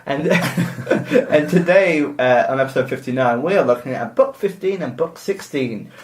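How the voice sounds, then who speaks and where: A young man with a soft Yorkshire accent, co-hosting a casual podcast and joking and laughing often. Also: medium pitch, expressive, a little slow, roomy sound. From Yorkshire, England. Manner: giggly, joking, puts on silly voices. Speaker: podcast co-host. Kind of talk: casual comedic chat.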